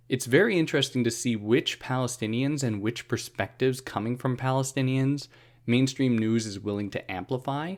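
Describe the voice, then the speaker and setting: A man hosting a philosophy podcast, explaining a book in a casual, conversational monologue with wry asides and frequent restarts. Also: mid-range voice.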